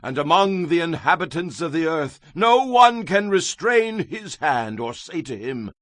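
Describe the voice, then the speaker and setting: A man's voice: a little high, very animated, a little slow, fairly bright tone, dry recording, clean studio sound, pitched above the performer's own voice. Man acting grand, declamatory ancient king, swooping delivery. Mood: exalted praise. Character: proud ancient Babylonian king, grandly boastful, solemnly devout. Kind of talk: dramatized scripture reading.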